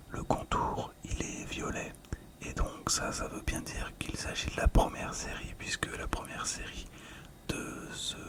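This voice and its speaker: A middle-aged ASMR host talking through a collectible in a calm, gentle, hesitant way, close to the microphone. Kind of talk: whispered ASMR commentary.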